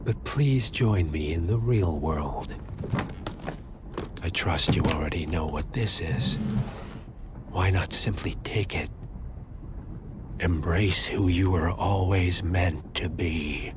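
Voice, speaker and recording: Game villain: a low-voiced, unhurried, condescending schemer, noisy ambience behind. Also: male voice. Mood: smug. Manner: dry, polished, condescending. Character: smug, cornered older male villain. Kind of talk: video game cutscene acting. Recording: ambient noise and a vehicle behind.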